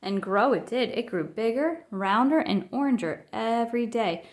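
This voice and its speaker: A woman reading a children's picture book aloud as an expressive storyteller. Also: a little high, normal speed. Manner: expressive, acts out dialogue.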